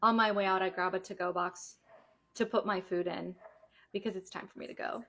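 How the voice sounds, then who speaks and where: A woman's voice: mid-range voice, fairly even intonation, fairly quick, fairly bright tone, a little hiss or hum. A woman in her late twenties telling a personal story in casual chat, with an emphatic, indignant manner. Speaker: storyteller recounting a personal experience.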